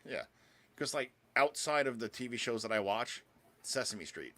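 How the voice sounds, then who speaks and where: A man's voice: medium pitch, lively intonation, moderate pace, fairly bright tone. A man in his thirties co-hosting a podcast, chatting casually and rambling with lots of filler words and swearing. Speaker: podcast co-host.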